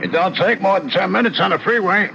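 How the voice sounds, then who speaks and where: An actor playing a gruff, gravelly working-class cab driver, bemused by his passengers, in an old radio drama. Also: high-pitched, fast talker, pushed and bright, slight background noise, exaggerated voice. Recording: muffled vintage radio with background music.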